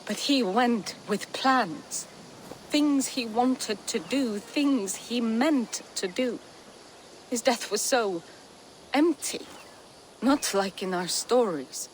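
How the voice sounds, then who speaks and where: An actress playing a gentle, doubtful young Viking apprentice in a video game cutscene, with a soft, halting, earnest delivery. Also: female voice, on the high side, lively intonation, a little hiss or hum, rough recording. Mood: grieving, unsure.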